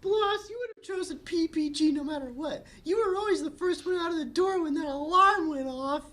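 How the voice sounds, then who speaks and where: Man doing a shrill falsetto ditzy young woman. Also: brisk, fairly bright tone. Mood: breezy. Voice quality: exaggerated falsetto. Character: ditzy, sassy young woman superhero sister, internet-trend obsessed.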